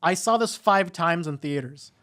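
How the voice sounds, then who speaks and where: A man co-hosting a movie podcast, chatting casually in an animated, joking fan's way. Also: on the high side, normal speed. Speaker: co-host of a movie review podcast. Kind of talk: casual movie discussion chat.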